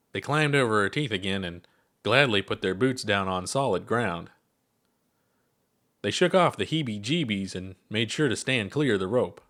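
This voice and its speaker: Expressive man reading a story aloud. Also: fairly low pitch.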